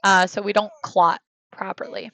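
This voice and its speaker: A woman's voice: medium pitch, level tone, moderate pace, gravelly, sharp tone, no echo. An American woman in her thirties, chatting casually while she streams a life-sim game from home, rambling with many fillers. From United States. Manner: rambling, hesitant, many fillers, talks to her kids between points. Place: home room.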